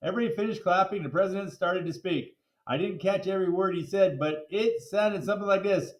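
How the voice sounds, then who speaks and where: A man reading a children's story aloud like a teacher to a class, in a playful, theatrical way with emphatic drawn-out words. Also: sits high, roomy sound, rough recording.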